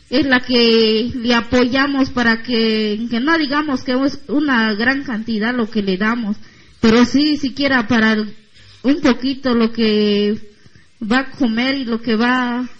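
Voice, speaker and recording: A woman giving a short, halting address at a community gathering, with simple phrasing and pauses. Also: a little high, steady tone. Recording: dull, muffled recording.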